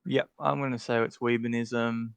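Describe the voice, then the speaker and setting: A man's voice: medium pitch, little pitch movement, normal speed, gravelly, clear, resonant tone, dry recording. A man co-hosting a casual podcast chat who thinks aloud with many fillers and restarts. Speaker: podcast co-host ranking game alliances.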